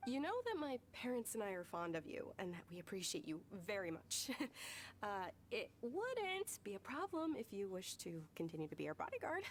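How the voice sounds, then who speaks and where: An actress playing a kind, refined young noblewoman in a video game, with a polite, gentle manner. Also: medium pitch, big pitch swings, normal speed, slight background noise, close to an everyday voice.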